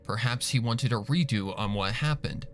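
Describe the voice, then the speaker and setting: A man's voice: mid-range voice, moderate pace, a bit bright, slight background noise. A man reading a story aloud as scripted, dramatic narration. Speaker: fan fiction narrator. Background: background music.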